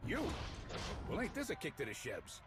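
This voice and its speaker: A man's voice: on the high side, huge pitch swings, normal speed. A man acting a cocky, scheming mercenary in a video game, with a slangy, wheedling, theatrical delivery. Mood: smug. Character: cocky, scheming male mercenary, cowardly when cornered. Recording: noisy outdoor game ambience.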